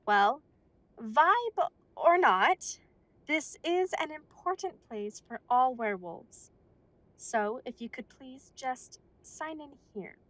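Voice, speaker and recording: A woman playing a polite, high-voiced werewolf receptionist. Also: animated, takes it slowly, fairly bright tone, exaggerated voice, low-quality recording. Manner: polite, businesslike. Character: polite female werewolf council receptionist, brisk.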